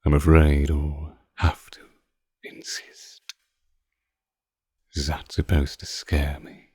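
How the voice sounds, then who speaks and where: A man playing an ancient, suave vampire lord in a scripted roleplay drama, speaking with deliberate, condescending menace and archaic phrasing. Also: very deep voice, completely flat delivery, a little mellow, slightly rough, no echo, full character voice. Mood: coaxing, menacing. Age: older. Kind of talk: scripted roleplay audio drama, intimate close-mic monologue.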